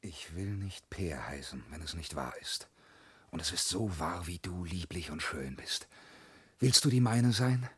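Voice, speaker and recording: A brash young dreamer brags his way through a classic radio drama. His husky voice jumps around lively in pitch, full of swagger and boast. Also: measured pace, full character voice. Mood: boastful. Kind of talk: classic radio play acting.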